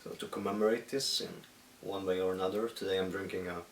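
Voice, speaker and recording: A Swedish man, speaking English as a second language, who talks about his music in a halting, thoughtful way with many pauses. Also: low-pitched, fairly even intonation. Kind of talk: reflective solo monologue. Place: at home in the countryside. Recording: echoey room, distant mic, faint hiss.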